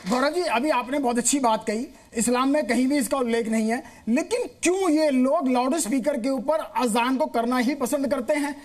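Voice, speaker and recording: Insistent, high-pitched woman debater. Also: moderate pace, few pauses, darkish tone. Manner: insistent, repeats points. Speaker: debate panelist. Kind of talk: argumentative debate.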